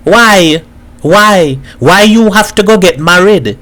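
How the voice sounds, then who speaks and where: A streetwise Trinidadian character from a storybook , as a male narrator plays him. He talks bluntly and teasingly in island idiom. The voice is pitched somewhat high for a man. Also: lively intonation, slow, bright, forceful tone, heavily acted. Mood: incredulous.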